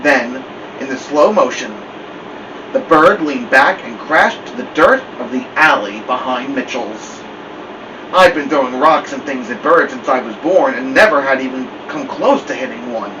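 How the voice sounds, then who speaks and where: A man's voice: mid-range voice, expressive, normal speed. A man reading a novel aloud as first-person storybook narration. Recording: dull, distant mic with loud air-conditioner hum.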